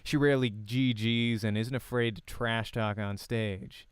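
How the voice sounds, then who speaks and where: A man's voice: mid-range voice, fairly even intonation, unhurried, smooth, dry recording. A man reading the narration of a visual novel aloud as narrator and voice actor.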